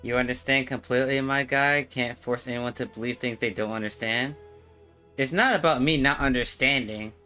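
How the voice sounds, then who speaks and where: A man's voice: mid-range voice, fairly even intonation, moderate pace, bright, forceful tone, clear, resonant tone, faint music behind. A man chatting casually as a game streamer, slangy and argumentative. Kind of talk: casual livestream chat.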